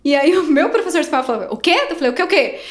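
A Brazilian woman, an English teacher, telling travel stories in a casual podcast chat, animated and acting out quoted voices. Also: high-pitched, rapid-fire. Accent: Brazilian Portuguese. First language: Portuguese.

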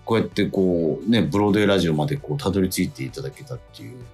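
Casting: male radio presenter, deep slightly rough voice, lively intonation, courteous and chatty over soft music. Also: rapid-fire. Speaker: radio show host and actor. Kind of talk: casual radio chat and listener-letter reading. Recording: music playing faintly behind. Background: background music.

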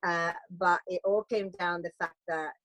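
A woman's voice: lowish voice, steady tone, unhurried, a bit bright, slight background noise, poor audio. A woman from Finland, a Swedish-speaking Finn, chatting casually as a guest about homeschooling, often restarting her sentences. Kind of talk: casual conversation.

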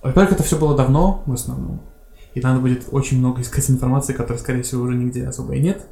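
A man's voice: mid-range voice, steady tone, very fast, gravelly, mellow. A young man from Belarus co-hosting a podcast in casual chat, hesitant and stumbling over his words. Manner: hesitant, stumbling, many fillers. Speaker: podcast co-host. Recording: echoey room.

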